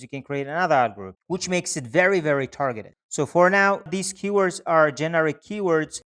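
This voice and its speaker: A man with a slightly high, very clean and smooth voice walks through a software tutorial step by step. His phrasing is instructional and repetitive, like an online presenter guiding viewers through each click. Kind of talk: step-by-step screen-share tutorial narration.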